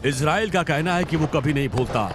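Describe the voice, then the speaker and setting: A man's voice: fairly high pitch, brisk. A man narrating a news feature in a dramatic, emphatic scripted style. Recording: voice-over with loud music bed.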